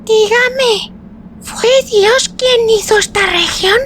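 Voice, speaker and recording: A man voicing a chatty, innocent little girl with a thin, clear voice and earnest questions, in an audiobook story. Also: extremely high pitch, fairly even intonation, slow, sharp tone, a little hiss or hum, voice pushed up, exaggerated voice. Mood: innocent curiosity.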